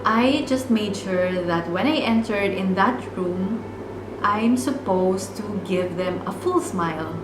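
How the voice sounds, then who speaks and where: A woman's voice: mid-range voice, slow pace, background noise. A woman from the Philippines in her thirties with a Filipino accent, telling a personal story in an earnest, reflective way as a vlogger. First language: Bicolano. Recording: echoey room with music.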